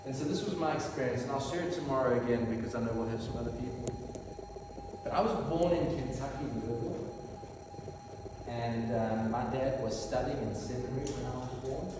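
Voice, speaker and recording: A man in his fifties with a South African accent, preaching a sermon and reading from Scripture with relaxed, self-deprecating humor. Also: mid-range voice. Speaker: pastor. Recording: echoey hall from far off, noisy.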